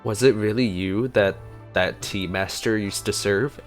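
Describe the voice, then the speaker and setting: A man's voice: on the low side, unhurried, faint music behind. A man giving casual reaction commentary as he plays a video game.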